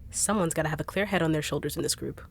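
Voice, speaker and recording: Low-voiced blunt, sarcastic woman. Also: racing, slightly rough. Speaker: voice actor in audio roleplay. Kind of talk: acted audio roleplay.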